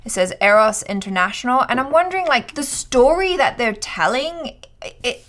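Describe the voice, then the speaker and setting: A woman's voice: on the high side, lively intonation, moderate pace, fairly bright tone. A young adult woman co-hosting a film reaction show, chatting casually and animatedly with little quoted asides. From half Thai. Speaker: film trailer reaction co-host. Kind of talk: casual chat.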